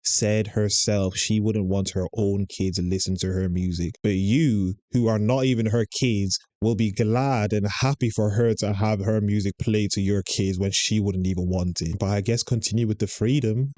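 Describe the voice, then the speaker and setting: Dark, dry-voiced scornful male commentator. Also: fairly low pitch, steady tone, normal speed, hardly any pauses, no room sound. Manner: sarcastic, argumentative. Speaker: commentator reacting to a clip.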